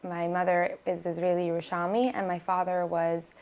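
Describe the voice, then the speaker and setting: A woman's voice: fairly low pitch, level tone, moderate pace, darkish tone. An American woman whose first language was Hebrew, chatting as a podcast guest with lots of fillers and restarts. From United States. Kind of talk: casual interview talk.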